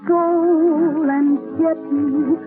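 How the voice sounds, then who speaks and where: A woman singer performing a slow 1940s popular song with an orchestra, on an old radio broadcast. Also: sits high, steady tone, narrow, tinny audio. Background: orchestra music.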